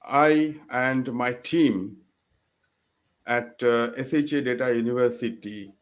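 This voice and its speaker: Male show host, slow and halting, at a mid pitch. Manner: slow and halting, with many uh fillers. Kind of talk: hosting an online interview.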